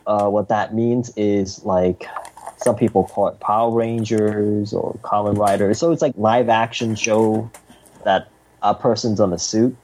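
A man's voice: fairly low pitch, fairly even intonation, a little slow, darkish tone, a little hiss or hum, rough recording. A man from Hong Kong, a podcast guest in casual chat, talking hesitantly with many fillers and restarts.

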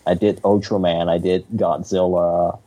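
A man's voice: low-pitched, little pitch movement, unhurried, dark tone. A man from Hong Kong, a podcast guest in casual chat, talking hesitantly with many fillers and restarts.

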